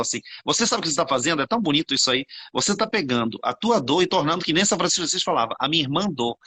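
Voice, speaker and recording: Brazilian man, live-stream host, bright husky voice, rapid and preachy. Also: fairly high pitch. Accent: Brazilian Portuguese. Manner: fervent, preachy, addresses viewers as "gente". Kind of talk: animated spiritual conversation.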